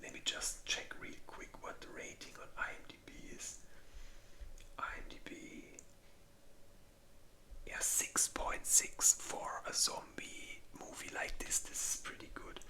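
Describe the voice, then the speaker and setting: An ASMR creator whispering casually in a rambling, informal way, as if chatting through a personal movie list. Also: unhurried. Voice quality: breathy unvoiced whisper.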